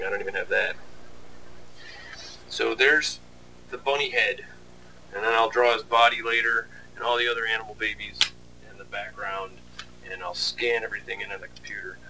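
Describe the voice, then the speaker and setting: A man's voice: fairly low pitch, vocal fry, sharp tone. A man casually narrating behind the scenes as an animator, with a sarcastic, mock-complaining manner. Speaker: animator and video host. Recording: distant mic, faint background noise.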